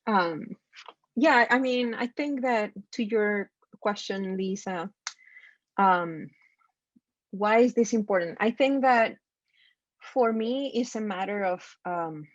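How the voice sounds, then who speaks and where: A woman from Costa Rica with a Spanish accent, a design professor speaking thoughtfully and haltingly on a video call panel. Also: medium pitch, no room sound. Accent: Costa Rican Spanish. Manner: thoughtful, halting, many fillers and restarts. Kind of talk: roundtable discussion. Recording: dull lo-fi video call audio.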